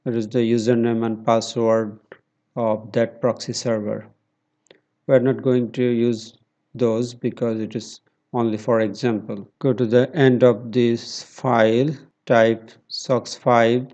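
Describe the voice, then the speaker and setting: Slow, steady man walking through a tutorial. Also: medium pitch, muffled recording. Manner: slow, step-by-step instructions with pauses and fillers. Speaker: tutorial presenter. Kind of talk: screen-recorded tech tutorial.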